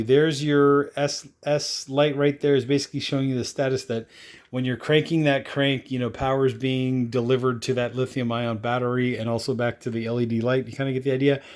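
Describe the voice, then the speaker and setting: A man with a mid-pitched voice talks through a product demo as if chatting off the cuff. He rambles in an offhand, casual way, dropping in little tag questions and stock phrases as he goes. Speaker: product reviewer. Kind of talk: casual unscripted product demonstration.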